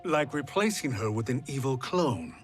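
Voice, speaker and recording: An actor playing a blunt, distrustful warrior in a fantasy video game, with a biting way of talking. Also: male voice, medium pitch, sing-song, moderate pace, soft background music, close to an everyday voice. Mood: sardonic. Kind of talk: cinematic fantasy game acting. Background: cinematic score.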